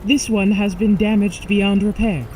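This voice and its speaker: A calm robot woman from space voiced by a woman in a sci-fi radio play. The speech is formal, measured and precise at a middle pitch, with faint noise behind it. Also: close to an everyday voice, low-quality recording. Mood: calm, grave. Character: calm alien machine woman warning humanity. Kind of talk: sci-fi audio drama acting.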